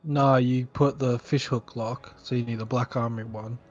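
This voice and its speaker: A man's voice: mid-range voice, steady tone, moderate pace, lo-fi sound, soft background music. A man, down with a sniffle, teasing his friend and laughing often in casual voice chat. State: sick with a sniffle.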